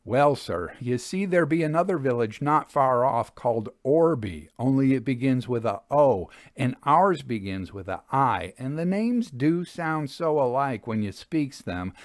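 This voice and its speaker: A man's voice: medium pitch, animated, unhurried, few pauses, no echo, lightly acted. Plain-spoken country roadside worker played by a man, earnest and folksy, storybook style, dry sound. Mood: earnest, helpful. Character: plain-spoken rustic English village man working by the roadside. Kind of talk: storybook character voice.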